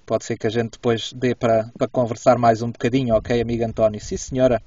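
A man's voice: medium pitch, little pitch movement, fast talker, warm tone. A man with a European Portuguese accent chatting casually and courteously as a radio ham inside a car, often checking back with his listener. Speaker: amateur radio operator. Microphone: camera a little way from him. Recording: dull, roomy, distant camera microphone.

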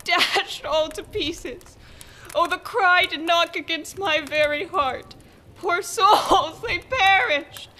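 A woman's voice: very high-pitched, slow, bright, forceful tone, full character voice. A young woman playing a tender-hearted, innocent, pleading daughter in a Shakespeare radio play. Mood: distressed, pleading. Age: young adult.

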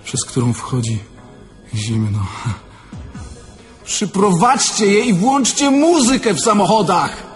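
A young thief who speaks as a corpse in a radio drama. His voice is fairly high, and he declaims in a brooding, crude and frightened way, with faint music behind him. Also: big pitch swings, takes it slowly, pauses often, exaggerated voice. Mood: brooding, fearful. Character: dead young male thief, crude, restless, afraid in his coffin. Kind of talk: theatrical radio-play monologue.

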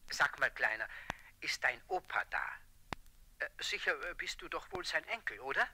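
An actor playing a brisk, easily amused professor talking on the telephone in a children's radio play. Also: male voice, a little high, big pitch swings, pushed and bright, heavily acted. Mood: puzzled. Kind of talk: 1970s German children's radio play acting.

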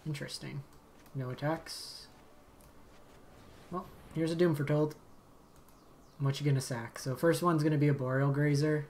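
A man, slightly raspy from a long day of talking, calmly commentating his card game as he plays, thinking aloud and muttering asides. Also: a little high, moderate pace, long pauses, slight background noise. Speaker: game streamer. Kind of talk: casual gameplay commentary. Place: home streaming setup.

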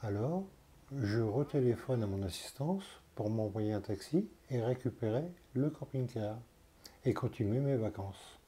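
Vlogger, man in his sixties, unhurried and hesitant, dark mellow tone, lapel mic outdoors. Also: mid-range voice, animated. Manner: plain, hesitant, many fillers. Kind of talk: casual vlog storytelling. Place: outdoors by a roadside and parking lots. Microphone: clip-on lapel mic.

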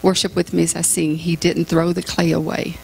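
A woman's voice: lowish voice, flat delivery, normal speed, gravelly, a little mellow, slightly rough, low-quality recording. A woman in her fifties, a guest speaker at a women's church conference, chatting casually with the congregation in a large sanctuary before she sings. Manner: chatty, self-deprecating, with frequent ums. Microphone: stage microphone at a distance from the camera.

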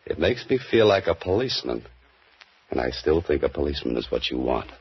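Dry, joking man, mystery writer, 1940s radio play, very low voice, dull old broadcast. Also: sing-song, a little fast, breathy edge, heavily acted. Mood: dry, wary. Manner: dry, wisecracking. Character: wry male mystery writer turned adventurer. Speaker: lead actor. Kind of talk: 1940s radio drama acting.

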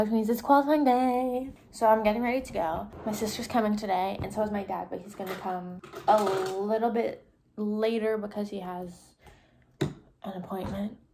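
A young woman chatting excitedly into her phone camera in a bedroom, with lots of "like"s. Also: medium pitch, a little hiss or hum. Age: young adult. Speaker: vlogger. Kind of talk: casual vlog chat. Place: bedroom indoors. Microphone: phone camera at arm's length.

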